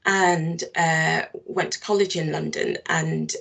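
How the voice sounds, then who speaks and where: Slow, gravelly-voiced woman in her fifties. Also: sits low, steady tone, fairly bright tone. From Barbados. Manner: thoughtful, measured, many restarts and fillers. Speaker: social work team manager. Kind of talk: interview answers.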